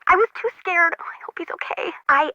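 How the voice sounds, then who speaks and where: A woman's voice: very high voice, brisk, sharp tone, dry recording, muffled recording. A woman voicing a quirky, anxious young intern who works as a radio operator in a video game, with slangy, dry, jokey delivery. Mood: scared, shaken. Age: young adult. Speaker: harbor control tower operator.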